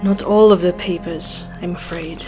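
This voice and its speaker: A woman's voice: on the low side, steady tone, normal speed, darkish tone, soft background music, close to an everyday voice, rough recording. An actress playing a resolute, grieving Victorian woman in an audio drama, speaking formally and with determination. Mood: insistent.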